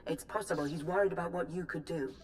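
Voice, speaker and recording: A woman playing a cool, calculating intelligence chief in a video game cutscene, measured, clipped and dry. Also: lowish voice, slight background noise, lightly acted. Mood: grave briefing.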